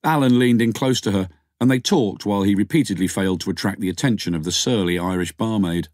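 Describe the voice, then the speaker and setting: A man's voice: lowish voice, fast, darkish tone, dry recording, studio quality. A man reading scripted fiction as an audiobook narrator.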